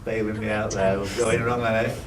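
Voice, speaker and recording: A man takes part in a quiz, speaking casually in short bursts. His voice comes from away from the mic in an echoey room with clear background noise. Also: fairly low pitch, level tone, quick pace, recorded from a distance. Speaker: quiz contestant. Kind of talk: short answers and casual remarks.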